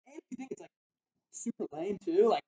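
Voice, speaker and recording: Young man, eighteen, loud and rambling, drawl, casual school podcast co-host. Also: high voice, lively intonation, fairly quick, muffled recording, a crowd in the background. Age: young adult. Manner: loud, rambling, self-interrupting. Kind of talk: casual chat.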